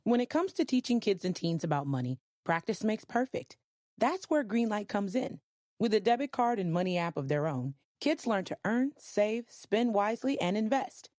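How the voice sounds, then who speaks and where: A woman's voice: medium pitch, very animated, normal speed, darkish tone, no room sound, clean studio sound. A woman announcer reading scripted advertisement narration.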